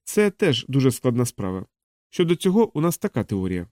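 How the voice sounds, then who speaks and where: Thoughtful male physicist for audiobook, read by a man, measured explainer, dry close recording. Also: medium pitch, sing-song, dark tone, dry recording, lightly acted. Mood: explaining.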